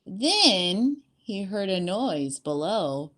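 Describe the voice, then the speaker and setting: A woman reading a storybook aloud to children as a teacher, with an expressive, deliberate storytelling delivery. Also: medium pitch.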